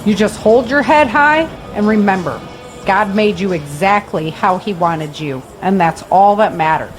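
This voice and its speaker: An actress playing a protective, practical mother in an audio drama, firm, brisk and reassuring. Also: mid-range voice, over music, close to an everyday voice.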